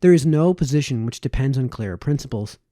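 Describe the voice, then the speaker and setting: A man narrates a formal old text with measured, emphatic phrasing. His voice is relaxed and mellow, and his pitch moves up and down in a lively way. The recording is close and dry. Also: no echo. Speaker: reader, narrator. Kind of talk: read-aloud of a historical political text.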